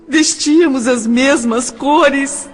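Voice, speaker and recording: A woman playing a sorrowful adopted orphan recalling her childhood in an emotive, deliberate audio drama monologue. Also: high-pitched, soft background music. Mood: wistful, tender. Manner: slow, emotive, deliberate delivery. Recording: long hall-like reverb.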